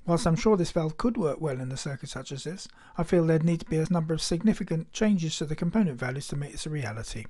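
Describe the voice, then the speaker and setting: A man giving a measured, instructional scripted technical narration as a presenter. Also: fairly high pitch, animated, mellow. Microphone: voice-over.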